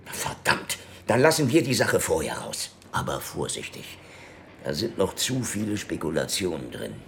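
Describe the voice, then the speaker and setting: A gruff, sceptical police superior in a radio drama. The voice is very deep and creaky, swinging expressively as he speaks with sardonic authority. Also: big pitch swings, moderate pace, fairly bright tone, a little hiss or hum, exaggerated voice. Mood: stern, sardonic.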